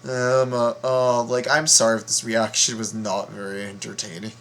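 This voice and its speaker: A very tired man giving casual reaction commentary, halting and rambling as he repeats his words. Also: fairly low pitch, flat delivery, fairly bright tone. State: very tired, half asleep. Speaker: video host reacting to game voice lines.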